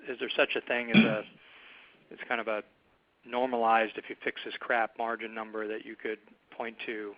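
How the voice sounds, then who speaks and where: A male analyst phones in with a question, his sound a little dull and lo-fi. His pitch is average. He words things bluntly and casually for a business call. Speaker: financial analyst calling in. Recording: slightly dull phone connection.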